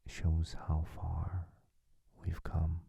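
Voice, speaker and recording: Slow, very husky whispering man, low. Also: monotone, dry recording. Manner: soft whispering, hesitant, halting. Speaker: voice actor and ASMR channel creator. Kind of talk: casual whispered ASMR outro chat.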